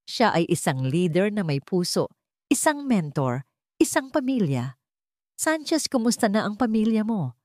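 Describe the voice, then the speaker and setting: A woman narrates a sentimental story in a fairly low voice. Her delivery is measured and earnest, like a scripted audiobook. The sound is dry, with hardly any room on it. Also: darkish tone, no room sound.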